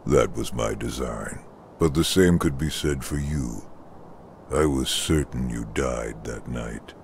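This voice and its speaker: An actor playing a scheming, domineering old shinobi father in a video game, with a gravelly voice and a mock-deferential manner. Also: a bass voice, slow pace, a little hiss or hum, full character voice. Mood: menacing. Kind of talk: dramatic video game acting. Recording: loud music underneath.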